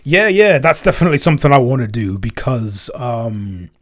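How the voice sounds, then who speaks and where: A man's voice: mid-range voice, very animated, moderate pace. A man co-hosting a casual Q&A as a composer, explaining things in a thoughtful, rambling way and often correcting himself. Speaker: podcast co-host and soundtrack composer. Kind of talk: casual Q&A chat.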